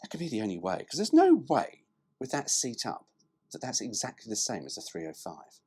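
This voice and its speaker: A man's voice: on the low side, wildly swooping pitch, brisk, darkish tone, husky. A man reading a brochure aloud as a presenter, dropping in cheeky, irreverent, sweary asides.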